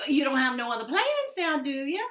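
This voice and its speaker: A woman playing a proud, pushy small-town wife in a radio western, folksy and sharp-tongued. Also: high voice, expressive, a little fast, echoey room. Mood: gushing. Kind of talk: old-time radio western acting.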